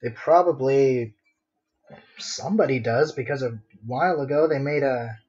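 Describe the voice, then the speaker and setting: A man's voice: mid-range voice, normal speed, a little mellow, lo-fi sound. A man chatting casually on a drawing livestream, rambling to himself in a playfully dramatic way. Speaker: streamer, digital artist.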